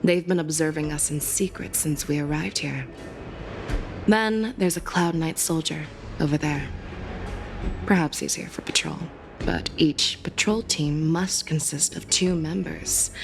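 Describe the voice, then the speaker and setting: A woman voicing a composed, shrewd female general in a video game, speaking with measured, analytical authority. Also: deep voice, gravelly, noisy background. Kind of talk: scripted video game dialogue acting.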